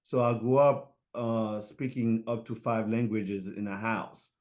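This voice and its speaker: A middle-aged man from Haiti answering interview questions, halting with many fillers and restarts. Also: fairly low pitch, steady tone, a little mellow. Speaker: guest, fashion designer.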